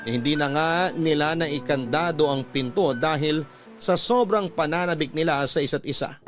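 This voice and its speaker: A man reads a letter as a radio drama narrator. His voice sits a little high, with music playing clearly behind his scripted storytelling. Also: moderate pace. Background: prominent background music.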